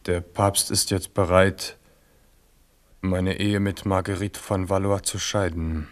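A man's voice: low voice, level tone, slow. An actor playing a headstrong, passionate king in a radio drama, with a commanding and impulsive delivery. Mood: confiding.

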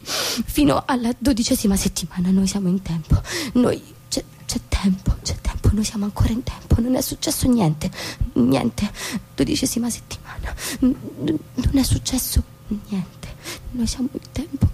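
An actress playing an anxious young wife and mother in a radio drama, emotional and given to halting repetitions. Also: on the high side, creaky, warm tone, a little hiss or hum. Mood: distraught, pleading. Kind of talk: stage-style radio drama acting.

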